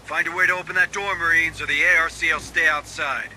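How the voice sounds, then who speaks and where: An actor playing a gruff, protective Marine sergeant, terse and commanding, in a video game. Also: male voice, fairly high pitch, steady tone, quick pace, pushed and bright, a little hiss or hum. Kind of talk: scripted game military dialogue.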